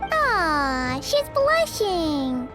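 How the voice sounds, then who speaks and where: Super high squeaky tiny sprite kid, cheeky n loyal, game voice, loud music behind. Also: female voice, sing-song, drawn-out words, exaggerated voice. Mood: teasing. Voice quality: squeaky, childlike. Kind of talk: English video game voice acting.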